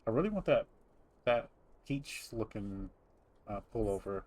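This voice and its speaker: A man's voice: lowish voice, lively intonation, a little slow, darkish tone. A middle-aged man chatting casually over gameplay as a host, rambling and teasing as he goes. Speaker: gaming host.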